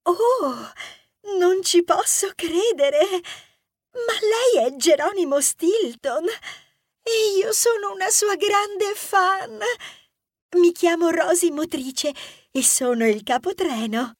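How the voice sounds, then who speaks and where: Lovestruck young mouse conductor, children's audiobook. Very high, slow, swooning delivery. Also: female voice, lively intonation, no echo, studio quality, full character voice. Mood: swooning, adoring. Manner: effusive, flustered, swooning, repetitive apologies. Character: gushing, lovestruck young female rodent train conductor. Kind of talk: children's audiobook character acting.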